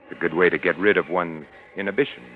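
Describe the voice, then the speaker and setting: An actor playing a calm, probing hunting companion with quiet menace, in measured, insinuating 1940s radio style. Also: male voice, low voice, natural voice. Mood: coolly probing. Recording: muffled, noisy 1940s radio recording.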